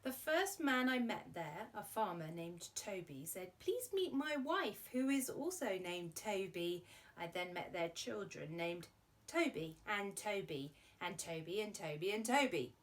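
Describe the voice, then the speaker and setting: A woman's voice: medium pitch, very animated, unhurried. A woman presenting a children's arts show, chatty and playful as she talks to young viewers and reads silly verse. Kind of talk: children's presenting and poem reading.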